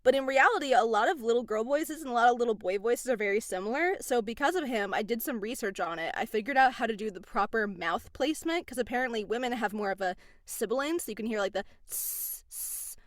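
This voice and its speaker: A woman, a voice actress answering interview questions in an animated, chatty way. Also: on the high side, quick pace, hardly any pauses, no room sound, studio quality. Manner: chatty, animated, laughs between phrases. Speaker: voice actress guest.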